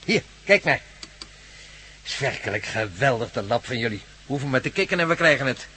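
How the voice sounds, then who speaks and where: An actor playing a keen investigator in a radio drama, explaining an experiment eagerly. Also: male voice, medium pitch, lively intonation, fairly quick, sharp tone, slight background noise. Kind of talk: Dutch radio drama acting.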